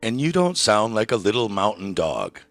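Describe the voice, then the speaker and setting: Male documentary narrator, very deep voice, sardonic and wry, dry close-miked read. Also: sing-song, moderate pace, fairly bright tone, no room sound, soft background music. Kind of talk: scripted narration.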